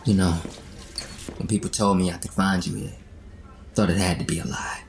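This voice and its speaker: A man acting as a smooth-talking, insinuating predator with an understated manner in a crime audio drama. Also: low voice, little pitch movement, normal speed, soft background music.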